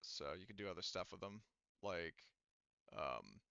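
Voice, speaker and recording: A man's voice: low-pitched, sing-song, moderate pace, vocal fry, sharp tone, smooth, dry recording. A man acting as game master, narrating a tabletop game in a casual, rambling, informal way. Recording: dry, slightly muffled voice mic.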